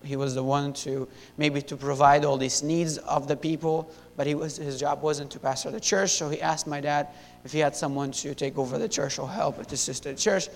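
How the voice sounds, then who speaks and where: A young man in his twenties from Syria, giving a halting church testimony full of fillers and restarts into a handheld mic. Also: steady tone, vocal fry. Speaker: missionary. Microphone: handheld wireless mic. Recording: echoey hall, faint rumble.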